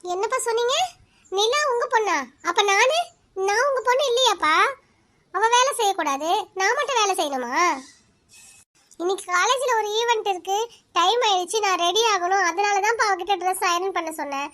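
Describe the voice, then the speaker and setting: A woman's voice: expressive, normal speed, a bit bright. A woman voicing a spoiled college-age daughter who whines and complains in a cartoon. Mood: whiny. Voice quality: very high-pitched. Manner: whining, complaining.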